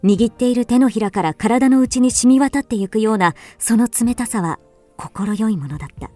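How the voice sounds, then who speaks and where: An actress playing a wistful, introspective first-person storyteller in a literary radio drama. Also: female voice, fairly high pitch, moderate pace, warm tone, no room sound, faint music behind, natural voice. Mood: wistful, relieved. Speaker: actor narrating.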